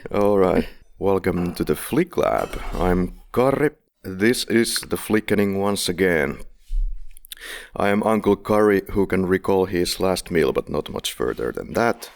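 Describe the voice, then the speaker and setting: Deep gravelly man. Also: lively intonation, measured pace. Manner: jokey, playful introductions. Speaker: podcast host. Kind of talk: casual film podcast chat.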